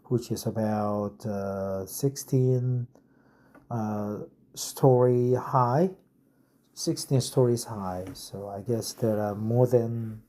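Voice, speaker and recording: A man's voice: on the low side, warm tone. A man in his fifties from South Korea, a non-native English speaker with a Korean accent, reading an article aloud and explaining it with frequent "uh" fillers and "right?" tags. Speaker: English-practice reader and explainer.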